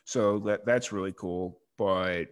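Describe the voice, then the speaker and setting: Host, male, low-ish voice, easygoing chat with plenty of fillers. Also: takes it slowly, smooth. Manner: relaxed, filler-heavy, uses like and you know. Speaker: podcast host. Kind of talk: casual interview chat.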